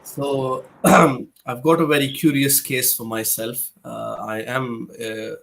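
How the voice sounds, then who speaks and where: A man from Pakistan, a guest author answering interview questions hesitantly, often pausing and restarting. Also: medium pitch, flat delivery, gravelly, a bit bright. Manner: hesitant, with frequent "uh" fillers and restarts.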